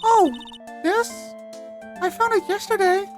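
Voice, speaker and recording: A man voicing a polite, earnest little girl spirit medium for a video game, speaking in a refined, halting way. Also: very high-pitched, steady tone, soft background music, pitched above the performer's own voice. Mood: shy, earnest. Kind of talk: video game dialogue voice-over.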